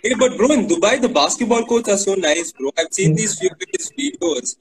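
A man's voice: high voice, expressive, fairly quick, breathy edge, poor audio. A young man in casual chat on a video call, teasing and saying bro a lot.

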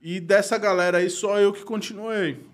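A man's voice: high voice, steady tone, moderate pace. A man, a guest telling stories in casual chat, slangy, laughing often and dropping to a mutter. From Brazil. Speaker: guest graffiti artist.

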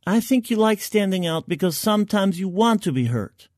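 A concerned female military medic from a science fiction audio story, acted out by a male narrator. Her voice is high and very lively in pitch. She speaks gently and earnestly, probing with care, in a close, dry recording. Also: moderate pace, no echo.

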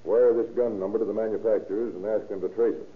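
Man playing a deep, commanding police captain. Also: fairly even intonation, fast talker, lightly acted. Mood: brisk, commanding. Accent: American. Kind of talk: 1930s radio drama acting. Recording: noisy, tinny old radio recording.

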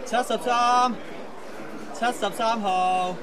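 A polite waiter played by a man in a Cantonese radio play, calling out in a high voice. He has a Hong Kong accent and clear noise around him. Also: steady tone, takes it slowly. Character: polite male restaurant waiter calling numbers. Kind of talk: radio drama acting.

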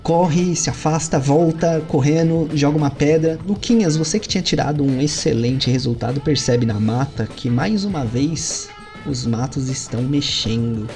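A Brazilian man narrates a tabletop game as its game master, in a mellow, relaxed voice of middle pitch. He tells the scene with animated jumps in pitch, and faint music plays behind him. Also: moderate pace. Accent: Brazilian Portuguese. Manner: animated storytelling with sudden lifts in pitch.

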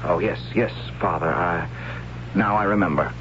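A man's voice: deep voice, normal speed, a bit bright, a little hiss or hum. A sheepish, hapless young man in a radio play. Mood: hesitant. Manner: easygoing and self-deprecating, hesitant. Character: cheerful, hapless young man, son of a high priest. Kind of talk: 1970s radio drama acting. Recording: dull old radio broadcast with music behind.

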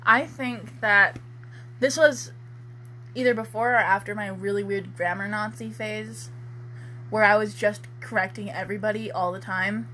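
A woman with a bright voice reads fiction aloud in a casual, comedic way. She banters, mocks herself and sounds giggly and irreverent. There is faint noise on the recording. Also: mid-range voice, fairly even intonation, normal speed. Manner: giggly, self-mocking, irreverent. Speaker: co-host and writer of the story being read.

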